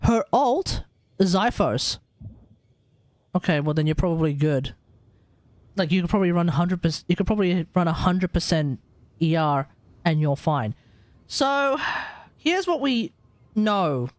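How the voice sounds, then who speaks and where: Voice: mid-range voice, animated, unhurried, dry recording. A game livestreamer chatting casually and thinking aloud in an informal, conversational way. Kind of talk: casual live stream commentary and chat.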